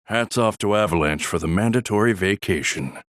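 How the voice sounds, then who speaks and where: A doting, contented oddball from a video game, acted by a man. He has a fairly low voice, recorded dry and close, and he croons as he savors his words. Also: fairly quick, no room sound, natural voice. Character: doting, contented eccentric man of simple pleasures.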